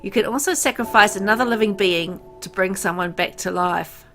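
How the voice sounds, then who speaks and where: A woman's voice: medium pitch, lightly acted. A woman voicing a grieving, vengeful father haunted by guilt, reading a visual novel aloud in a dramatic, brooding manner. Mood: cold, resolved. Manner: dramatic, brooding, deliberate phrasing. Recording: music under the voice.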